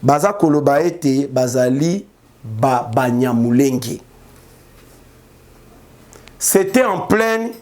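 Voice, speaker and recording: A male news commentator. He talks slowly in a fairly high voice. He often mocks the people he talks about and laughs at his own remarks. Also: darkish tone.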